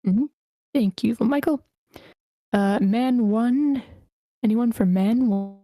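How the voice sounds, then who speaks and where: A woman's voice: on the high side, takes it slowly, dark tone, no room sound, studio quality. A woman hosting a group session, talking informally and encouragingly as she organizes who takes which role. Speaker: host of a script table read. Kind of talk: casual organizing talk, casting roll call.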